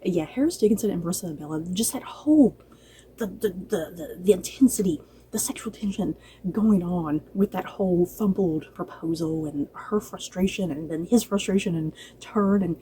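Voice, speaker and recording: A woman's voice: fairly low pitch, normal speed, dark tone, slight background noise, low-quality recording. A woman giving a casual, chatty review, speaking in a gushing, emphatic and informal way. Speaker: book and drama reviewer.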